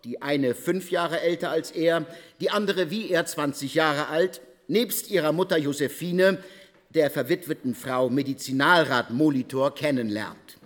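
An actor playing an insistent investigator in a German radio drama, speaking in a pointed, precise manner. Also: male voice, a little high, measured pace, natural voice. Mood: matter-of-fact, reciting. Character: probing, insistent male investigator reciting case files.

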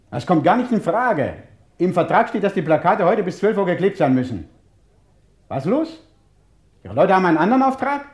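A man's voice: on the high side, a little mellow. A man playing a resolute, impatient French police inspector narrating his case in an old German radio crime drama, emphatic and insistent. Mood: angry, insistent. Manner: emphatic, insistent, clipped orders. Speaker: detective narrator.

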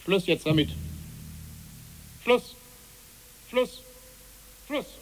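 A man playing a sharp, mocking officer who interrogates, in a literary radio play. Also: sits high, animated, drawn-out words, slight background noise, heavily acted. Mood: commanding. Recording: long echoing hall reverb.

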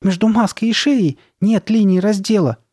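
A man's voice: on the high side, sing-song, moderate pace, dark tone, husky, dry recording, clean studio sound, pitched above the performer's own voice. A man voicing a worried, careful girl who coaxes and soothes with growing unease, in a horror storybook. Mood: uneasy, fearful. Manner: coaxing, puzzled, growing fearful. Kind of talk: dramatised horror storybook reading.

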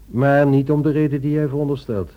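An actor playing a guarded, courteous husband in a radio play, speaking in a measured, quietly firm and wry way. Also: male voice, mid-range voice, fairly even intonation, muffled recording, natural voice.

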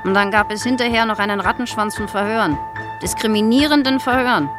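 A woman's voice: mid-range voice, quick pace, over music, close to an everyday voice. An actress playing an older East German woman in a radio drama, recollecting in a chatty, self-justifying, insistent way. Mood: aggrieved. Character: older East German woman, wounded, defensive photographer's granddaughter.

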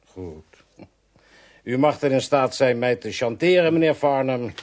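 An actor playing a put-upon, sardonic pathologist in a radio play, peevish and precise. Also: male voice, medium pitch, lively intonation, a little slow, a little mellow, natural voice. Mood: irritated, sarcastic. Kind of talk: Dutch radio play acting.

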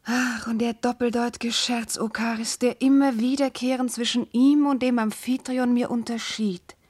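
Actress as a fervent, high-voiced noble wife. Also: female voice, fairly even intonation, moderate pace, a little mellow, close to an everyday voice. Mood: troubled, doubting. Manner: declamatory, solemn, fervent. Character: anguished, devoted young noble wife, a princess. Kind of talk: German classical verse radio drama.

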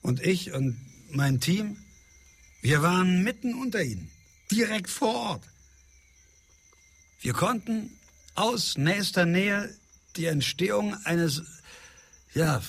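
A middle-aged scientist in a radio drama, his voice a little high and husky. He lectures at length and defends himself, frustration showing through. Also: big pitch swings, slow pace, pauses often, fairly bright tone, full character voice. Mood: fascinated, lecturing. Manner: lecturing, self-justifying. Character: defensive, frustrated male scientist leading an island experiment. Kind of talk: German radio drama acting.

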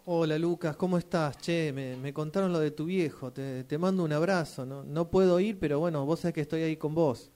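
Un hombre con acento argentino que interpreta en un radioteatro a un amigo preocupado, informal y algo titubeante. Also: male voice, a little high, warm tone, lightly acted. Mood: sympathetic. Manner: informal, halting. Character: concerned male friend offering condolences and asking questions. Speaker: radio drama workshop participant. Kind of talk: rehearsed radio drama acting.

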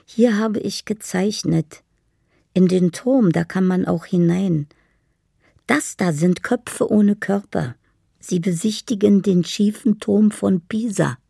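Radio play lead: a lovelorn female dreamer, husky, deliberate and poetic, recorded dry and close. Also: mid-range voice, dark tone, dry recording, exaggerated voice. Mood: quietly content. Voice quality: husky, gravelly. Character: dreamy, lovelorn woman living in her own fantasy. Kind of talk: radio drama, poetic letter monologue.

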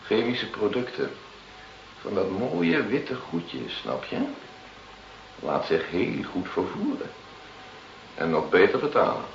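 An actor in a radio play voicing a mocking, mock-friendly gangster who smuggles drugs and blackmails. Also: male voice, fairly low pitch, sing-song, takes it slowly, creaky, long pauses, exaggerated voice. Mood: coaxing, calculating. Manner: sly, mock-friendly, taunting. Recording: muffled, noisy, roomy old radio recording.

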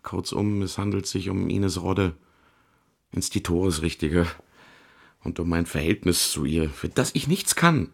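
A male actor playing a charming, self-pitying artist who justifies himself in a confiding, theatrical, halting radio drama monologue. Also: low voice, creaky, breathy edge, heavily acted. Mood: agitated, self-justifying.

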